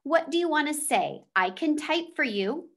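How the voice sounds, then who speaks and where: A woman's voice: sits high, lively intonation, normal speed, pitched above the performer's own voice. A woman voicing a kind, patient male schoolteacher in a children's storybook, easygoing and encouraging. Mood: easygoing, helpful.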